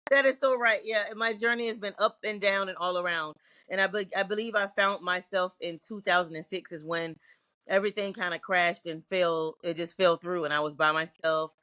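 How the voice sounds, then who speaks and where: A woman's voice: fairly low pitch, normal speed, sharp tone, no echo. A middle-aged woman singer from Virginia, answering interview questions in a reflective, earnest way with frequent restarts.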